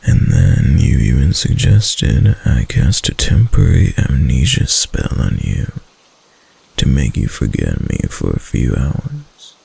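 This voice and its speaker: A man voicing a doting, obsessive kitsune husband in an intimate ASMR roleplay, breathy and gravelly, with hesitant, coaxing endearments. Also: extremely low pitch, darkish tone, full character voice. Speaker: voice actor in a roleplay.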